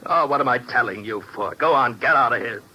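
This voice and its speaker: A man's voice: medium pitch, brisk, bright, forceful tone. An American actor playing a desperate, bitter, down-on-his-luck operator in a 1950s radio drama, agitated and pleading in slangy tough talk. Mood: bitter, angry. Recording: noisy old 1950s radio recording.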